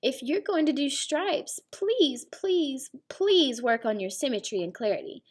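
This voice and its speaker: A woman's voice: high voice, expressive, unhurried, a little hiss or hum, voice pushed up. A woman voicing a haughty, fussy zebra with pompous emphasis in a children's storybook. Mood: haughty, scolding.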